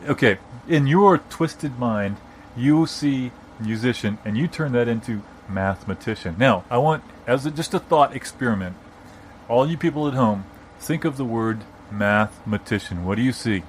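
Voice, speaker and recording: A man playing a pedantic, argumentative debater in an improvised audio comedy, restarting his points haltingly and insistently. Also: medium pitch, lively intonation, slight background noise, natural voice. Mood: indignant, pedantic. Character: indignant, pedantic male debater with muddled logic.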